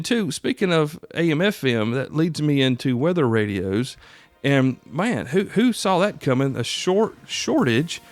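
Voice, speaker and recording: An American man hosting a podcast solo, talking casually in a folksy, conversational way straight to his listeners. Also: fairly high pitch. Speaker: podcast host.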